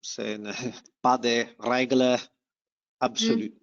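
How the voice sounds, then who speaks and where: A man with an Italian accent, a guest doctor answering informally and haltingly, searching for words as a non-native speaker. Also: medium pitch, level tone, fairly bright tone. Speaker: doctor, guest webinar presenter. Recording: dull, band-limited webinar audio.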